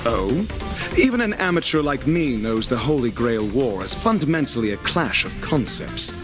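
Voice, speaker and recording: A theatrical, learned male game character, confident and courteous yet provoking. His mid-range voice moves expressively as he lectures formally, over clearly audible music. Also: medium pitch. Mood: smug, courteous. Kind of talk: video game character acting. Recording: game audio with music behind.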